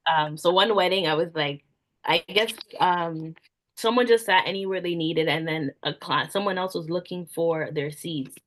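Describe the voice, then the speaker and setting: A young woman, an event planner, answering interview questions in relaxed casual talk, with lots of "like" fillers. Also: on the low side, normal speed. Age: young adult. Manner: soft-spoken, frequent "like" fillers.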